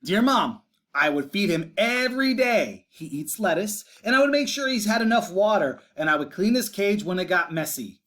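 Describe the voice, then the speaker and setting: A man's voice: high voice, very animated, normal speed, a bit bright. A man playing an eager, pleading young boy. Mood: earnest. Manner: earnest, wheedling, persuasive. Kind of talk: storybook read-aloud for children.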